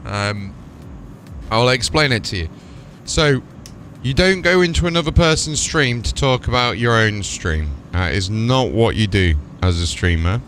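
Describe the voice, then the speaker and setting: A man's voice: medium pitch, expressive, unhurried, fairly bright tone, slight background noise. A man chatting casually and informally to viewers as a live game streamer. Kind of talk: casual gaming livestream chat. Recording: music playing behind the voice.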